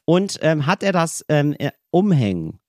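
A man's voice: on the high side, sing-song, unhurried, a little mellow, no room sound, clean studio sound. A man co-hosting a podcast in casual chat, teasing and rambling with many restarts. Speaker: podcast co-host.

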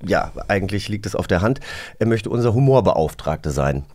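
A man's voice: on the low side, expressive, moderate pace, darkish tone. A man co-hosting a podcast in casual conversation, talking in a chatty, enthusiastic way. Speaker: podcast co-host. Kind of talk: casual chat.

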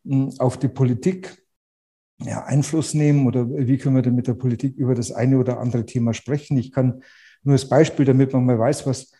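Bavarian guy, real dark mellow voice, outspoken but keeps restarting. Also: mid-range voice, fairly even intonation, a little fast. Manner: outspoken, hesitant with many restarts. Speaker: real estate agent, interview guest. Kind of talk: podcast interview.

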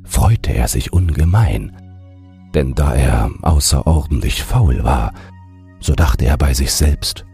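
Very deep, gravelly, slow male storyteller. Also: animated. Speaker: audiobook narrator. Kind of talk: scripted bedtime fairy-tale narration. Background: soft music.

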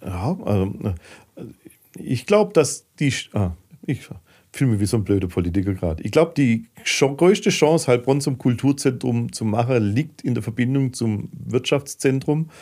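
A man's voice: medium pitch, expressive, moderate pace, a little mellow. A middle-aged man from southwestern Germany talking candidly and colloquially as a guest in a casual podcast interview. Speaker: guest, filmmaker and managing director.

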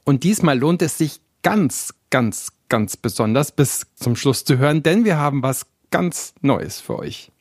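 A man hosting an interview podcast in German, asking questions in a friendly, brisk way. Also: mid-range voice, expressive, darkish tone, no room sound, studio quality. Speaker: podcast host.

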